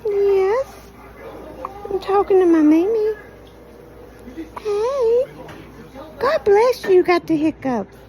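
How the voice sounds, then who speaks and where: A woman's voice: very high voice, mellow. A woman cooing to a baby in doting, repetitive, sing-song soothing baby talk.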